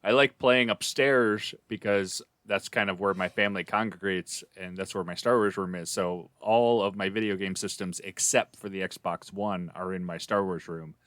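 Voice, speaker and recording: A middle-aged man with a lowish voice talks casually as a podcast co-host, in a dry sound with no room echo. He rambles and halts his way through what he is telling. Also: steady tone, moderate pace, hardly any pauses, a bit bright.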